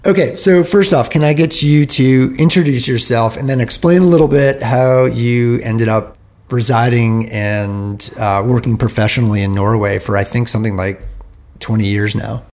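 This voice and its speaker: A man hosting a sports podcast, reading a steady scripted intro and asking interview questions. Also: medium pitch, moderate pace.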